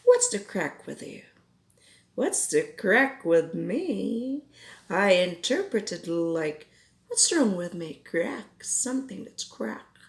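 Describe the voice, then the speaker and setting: A woman's voice: medium pitch, creaky. A Filipino woman whose first language is Cebuano, teaching English expressions in a careful, explaining lesson. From Philippines. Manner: slow, careful explaining with quoted example phrases. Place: home in Ireland.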